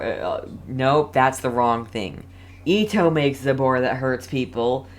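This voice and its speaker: A young man giving casual live game commentary as a streamer, halting and often repeating his phrases. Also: mid-range voice, a little hiss or hum. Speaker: gaming streamer.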